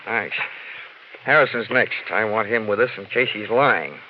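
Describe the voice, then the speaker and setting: An actor playing a tough, wisecracking male private investigator in a 1940s radio drama, sardonic and deadpan. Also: fairly low pitch, a little slow, very noisy, natural voice. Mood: cool, wry. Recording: muffled 1940s radio recording, music behind.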